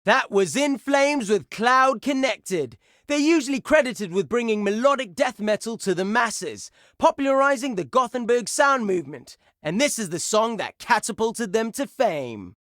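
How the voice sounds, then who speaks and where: An actor playing a brash, excitable heavy metal radio DJ in a video game, announcing songs and listener requests in a punchy, showy style. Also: male voice, very high voice, normal speed, few pauses, no echo, studio quality. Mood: cool, assured.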